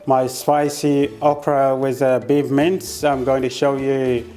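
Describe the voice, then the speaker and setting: A man from South Sudan with a South Sudanese accent, demonstrating a recipe in his kitchen and explaining each step in an unhurried way, with many hesitant pauses. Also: medium pitch, flat delivery, warm tone. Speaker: home cook. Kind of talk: cooking demonstration.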